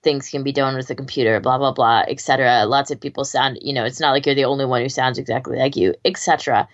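A woman's voice: a bass voice, steady tone, fast talker, muffled recording. A woman podcast co-host chatting casually in a wry, offhand way. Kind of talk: casual chat.

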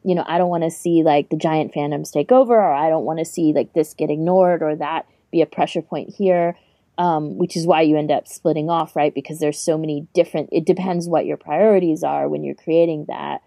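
This is a woman with a distinctly low, warm and relaxed voice. She co-hosts a podcast in a casual discussion style, recorded very dry. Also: a little fast, vocal fry, no echo. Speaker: podcast co-host.

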